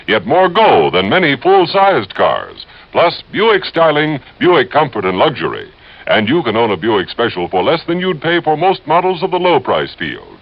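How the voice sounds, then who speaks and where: A man announcing a scripted old-time radio advertisement with polished, emphatic salesmanship. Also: medium pitch. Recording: dull old radio recording.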